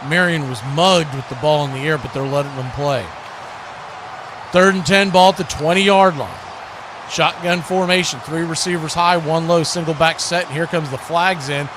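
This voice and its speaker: An American man calling live football play-by-play in short clipped phrases, repeating words as the action unfolds. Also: a little high. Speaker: play-by-play sports commentator. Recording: noisy live stream with background noise.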